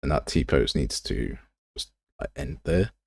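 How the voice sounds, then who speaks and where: A man with a very low, creaky voice, recorded close and dry. He talks casually as a livestream host, thinking aloud while he works. His delivery is informal and rambling, with a self-deprecating streak. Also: sing-song, unhurried, a little mellow, no echo. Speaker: game development livestream host.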